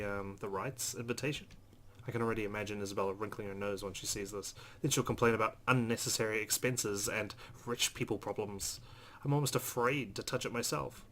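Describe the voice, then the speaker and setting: A man's voice: medium pitch, a bit bright. A man hosting a let's play, reading visual novel narration aloud expressively with casual asides. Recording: faint background noise.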